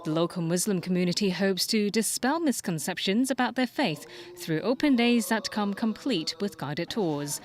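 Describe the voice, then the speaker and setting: A woman's voice: medium pitch, animated, moderate pace, hardly any pauses. A woman anchor reading scripted television news.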